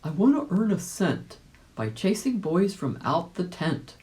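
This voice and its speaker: A woman voicing a plucky, good-natured old gentleman rabbit, musing earnestly in a storybook reading. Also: fairly low pitch, big pitch swings, unhurried, voice pushed up. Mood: bold.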